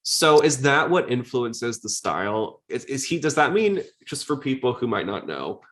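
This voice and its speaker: A man's voice: medium pitch, sing-song, moderate pace, fairly bright tone. A man hosting a podcast in a casual, inquisitive, conversational way. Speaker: podcast host.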